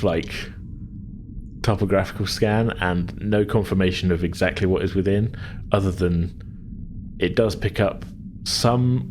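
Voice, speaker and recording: A man's voice: low voice, darkish tone, a little hiss or hum. A man speaking as game master, improvising tabletop narration and chatting casually in a hesitant way.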